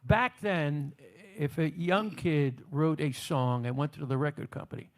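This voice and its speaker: A man's voice: a little high, unhurried. Talkative man interviewing old rock stars. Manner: talkative, explains music business history at length. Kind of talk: casual interview chat. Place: on a cruise ship.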